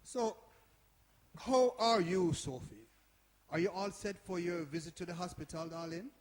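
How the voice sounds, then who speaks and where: A man plays a caring older father in a stage drama, fatherly in manner. His voice is fairly high and rings in an echoey hall. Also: measured pace. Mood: concerned, gentle. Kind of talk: stage play acting. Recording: echoey hall, distant sound.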